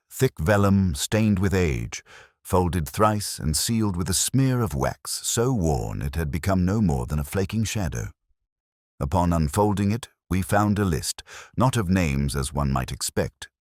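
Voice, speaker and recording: A man narrating a scripted story in a measured, dramatic, atmospheric way. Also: deep voice, darkish tone, no room sound, clean studio sound. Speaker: audiobook narrator.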